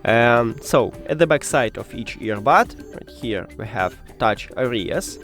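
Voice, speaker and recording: A man narrates a how-to guide in non-native English, occasionally dropping articles. His mid-pitched voice moves up and down in a lively way from step to step. Faint music plays behind him. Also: a little slow. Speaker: tech tutorial presenter.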